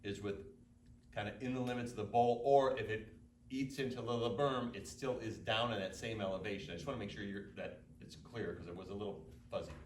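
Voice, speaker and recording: A man with a loud voice on a planning commission, carefully asking for clarity at a public meeting. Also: fairly low pitch, fairly even intonation, brisk. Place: township meeting room. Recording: echoey room, distant mic, faint hiss.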